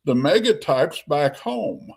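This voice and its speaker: A man's voice: a little high, very animated, slow pace, creaky, husky. A man speaking as a guest on a podcast panel, rambling in a loose conversational way. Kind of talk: casual panel chat.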